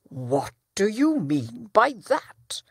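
A woman voicing a stern male judge who questions in a measured way. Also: mid-range voice, sing-song, creaky, breathy edge, dry recording, studio quality, full character voice.

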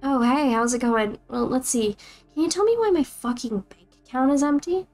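A woman's voice: on the high side, animated, moderate pace, a little mellow, faint music behind. A woman voicing an angry former employee, a woman, demanding her money back and swearing. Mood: furious. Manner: furious, swearing.

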